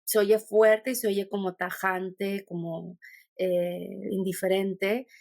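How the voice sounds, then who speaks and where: A woman's voice: mid-range voice, little pitch movement, slow pace, a little mellow, no echo, studio quality. A Mexican woman with a Mexican accent, a podcast guest talking thoughtfully and meandering, with many restarts. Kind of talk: conversational podcast interview.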